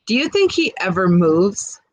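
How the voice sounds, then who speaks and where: A woman voicing small young yellow snakes in a storybook, sly, fidgety and mocking. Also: mid-range voice, sing-song, measured pace, rough recording.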